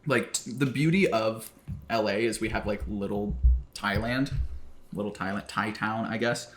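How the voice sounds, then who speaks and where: A man's voice: on the low side, normal speed. A young man co-hosting a casual lunch podcast in a home kitchen, laid-back and swearing often, filling his talk with "dude" and "like". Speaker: podcast co-host. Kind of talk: casual chat over lunch.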